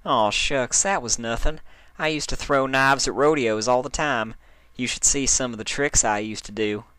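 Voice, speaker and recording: A man plays a country mare from a cartoon storybook. She is folksy, modest and easygoing. The voice is lifted a little and has a bright, crisp edge. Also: medium pitch, normal speed, no echo, voice pushed up, close to an everyday voice. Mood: bashful, modest.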